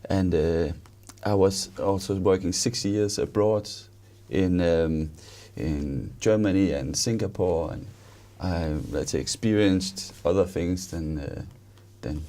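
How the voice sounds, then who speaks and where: Deep, mellow, halting Danish man. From Denmark. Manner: halting, with repeated words. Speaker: interview guest, author and engineer. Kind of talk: conversational self-introduction.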